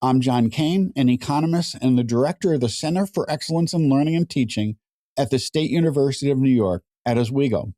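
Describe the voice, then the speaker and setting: A man hosting and moderating an education podcast, asking questions in a measured, relaxed way. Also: medium pitch, no echo, studio quality. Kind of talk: conversational interview moderating.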